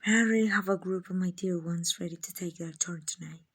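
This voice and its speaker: A woman playing a kind, doting vampire owner in an ASMR roleplay, soft and often whispering, gently coaxing and reassuring. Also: fairly low pitch, fast, breathy edge. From Mexico. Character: kind, doting, genteel female vampire owner, soothing and possessive.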